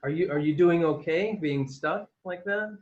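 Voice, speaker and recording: A man with a fairly high voice asks questions and drops quick, casual remarks during a video call. His audio comes through somewhat dull and lo-fi. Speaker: interviewer.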